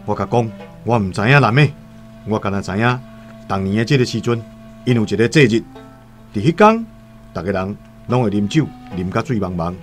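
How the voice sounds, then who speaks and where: An actor playing a shrewd, self-serving Han trader, calculating and wary of trouble, with a Taiwanese accent in a radio drama. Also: male voice, fairly low pitch, animated, fairly quick, pauses often, lightly acted. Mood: sly, self-justifying. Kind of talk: radio drama dialogue and first-person storytelling. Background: music.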